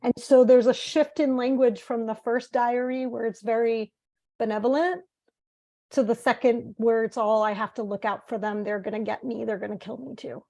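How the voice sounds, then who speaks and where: A woman's voice: fairly high pitch, steady tone, normal speed, vocal fry, warm tone, poor audio. A woman leading an online workshop, explaining things in an informal, conversational lecture style. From born in the United States to Italian parents, spent half of life in Italy. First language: bilingual. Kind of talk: informal lecture and group facilitation.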